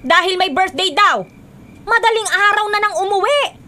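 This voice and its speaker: Shrill, stern Filipino spinster aunt. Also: female voice, animated, normal speed, sharp tone, full character voice. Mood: weary, complaining. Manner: scolding, emphatic, melodramatic. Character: stern, exasperated middle-aged spinster aunt. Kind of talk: Filipino radio drama acting.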